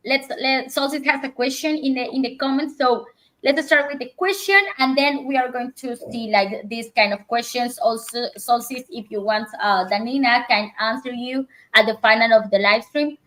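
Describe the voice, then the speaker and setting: Host, female, fairly high voice, casual chat with restarts. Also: bright, forceful tone, low-quality recording. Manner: halting, many restarts and fillers, laughs often. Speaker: livestream host.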